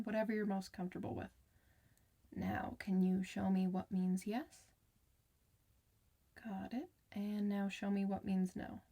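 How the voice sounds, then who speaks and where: A woman acting as a kind clinician in an ASMR roleplay. She is soft-spoken and reassuring, with a chatty bedside manner and a medium-pitched voice, talking straight to her patient. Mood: gentle, attentive. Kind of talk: ASMR medical roleplay addressed to the listener.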